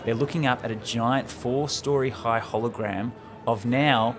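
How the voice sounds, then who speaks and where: A man's voice: medium pitch, fairly even intonation, unhurried, noisy background. Enthusiastic man retelling a film story. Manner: enthusiastic, informal. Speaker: podcast co-host. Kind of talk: casual retelling of a film script.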